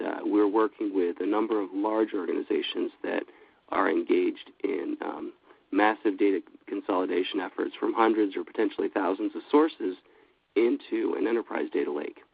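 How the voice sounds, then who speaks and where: A man with a cold giving a steady, businesslike webinar presentation, with frequent fillers. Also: on the low side, gravelly, dry recording. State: has a cold. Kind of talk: scripted product presentation. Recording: dry, phone-like line.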